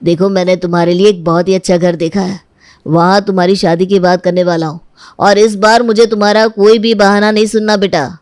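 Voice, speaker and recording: A woman's voice: mid-range voice, level tone, a little fast, darkish tone, dry recording, studio quality, natural voice. A woman voicing a concerned, firm middle-aged father in a cartoon family story. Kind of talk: cartoon moral-story dialogue.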